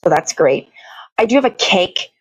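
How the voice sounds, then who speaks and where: A woman's voice: on the low side, big pitch swings, normal speed, slightly rough, no echo, low-quality recording. A woman hosting a casual livestream, chatting in a meandering way, often searching for words and swearing casually. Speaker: live show host. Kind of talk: casual rambling livestream chat.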